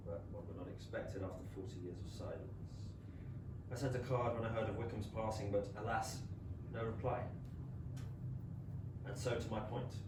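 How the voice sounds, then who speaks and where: A man's voice: medium pitch, natural voice. A male actor plays a lonely, ageing character in a staged reading, wistful with a dry, sardonic edge. The theatre is very reverberant and the voice sits at a distance, with faint noise underneath. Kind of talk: staged play reading, monologue. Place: small London theatre.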